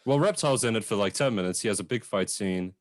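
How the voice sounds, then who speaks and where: A man's voice: fairly low pitch, fast talker, dry recording, studio quality. A man chatting casually as he reviews a film, with a blunt, informal, sweary way of talking. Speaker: film reviewer on a two-person show.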